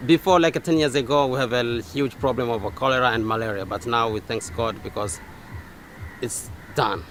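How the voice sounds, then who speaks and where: Local man with a Swahili accent, hesitant interviewee, average pitch, quiet ambience behind. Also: a little hiss or hum. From Zanzibar, Tanzania. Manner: halting, many uh fillers and restarts. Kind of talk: casual interview answers.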